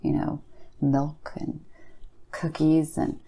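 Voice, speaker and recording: A woman's voice: sits low, flat delivery, slow pace, gravelly, mellow, husky, exaggerated voice. A woman playing a blunt, casual creepy-story teller, offhand and slangy in her banter. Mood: offhand, spooky. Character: Bee, blunt, slacker-casual woman trading creepy stories. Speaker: podcast co-host.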